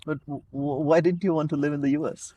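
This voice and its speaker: A man's voice: fairly high pitch, steady tone, fast, warm tone. A man hosting a podcast, opening with a scripted welcome in an announcer's voice and then asking chatty questions. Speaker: podcast host. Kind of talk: scripted intro, then casual interviewing.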